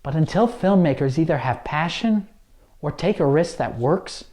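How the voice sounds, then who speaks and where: A man's voice: fairly high pitch, animated, normal speed, darkish tone. A man co-hosting a show, giving unscripted opinion commentary in an emphatic, earnest way. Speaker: show co-host.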